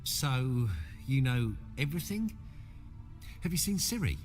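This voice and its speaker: An actor playing an anxious, hesitant shapeshifter in a video game, fretful and full of questions. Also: male voice, mid-range voice, sing-song, a little slow. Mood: curious. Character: anxious, hesitant male shapeshifter, meek and excitable. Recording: reverberant, with music behind.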